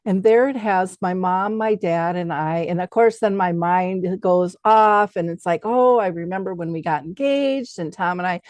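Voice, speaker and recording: A middle-aged woman hosting a conversation, reflecting on personal memories in an informal, animated way. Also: fairly low pitch, moderate pace, few pauses, no room sound. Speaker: talk show host.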